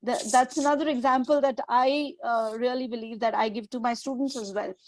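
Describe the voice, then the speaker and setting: A woman's voice: fairly high pitch, steady tone, a little fast, dry recording. Woman teacher on a dry video call. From India. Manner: hesitant, many fillers. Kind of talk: webinar discussion.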